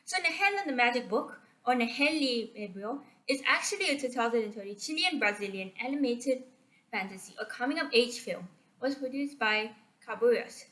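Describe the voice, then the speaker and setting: A young woman from Malaysia with a slightly high voice talks through a movie summary into a computer mic. She hesitates often and fills pauses with ums and likes. She restarts her sentences as she goes. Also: lively intonation, fairly bright tone, poor audio. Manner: hesitant, many fillers and restarts, unsure of facts. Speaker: video creator. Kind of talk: casual spoken movie summary. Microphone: computer screen recording mic.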